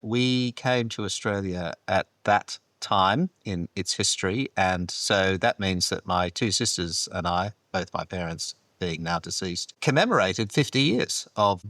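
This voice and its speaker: Deep-voiced thoughtful man, Canadian accent. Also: expressive, dry recording. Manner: measured, thoughtful, laughs softly. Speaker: archbishop guest. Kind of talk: reflective interview answers.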